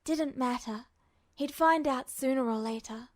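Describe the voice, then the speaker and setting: A woman reading fiction aloud as a scripted story narrator, measured, with dramatic pauses. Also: high voice. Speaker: amateur audiobook reader.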